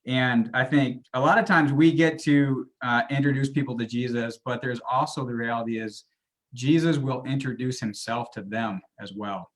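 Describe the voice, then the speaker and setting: Soft-spoken guy, thoughtful, mid pitch, trails off. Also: moderate pace. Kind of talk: panel discussion.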